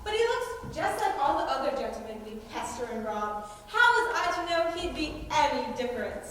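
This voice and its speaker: A teenage woman playing a cheeky, confident, noble-born female outlaw leader in a high school stage play, with a teasing, theatrical delivery. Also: very animated, a little fast. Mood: amused. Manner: teasing, theatrical, self-assured. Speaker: student actor. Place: school stage or auditorium, indoors. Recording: distant mic, very echoey noisy hall.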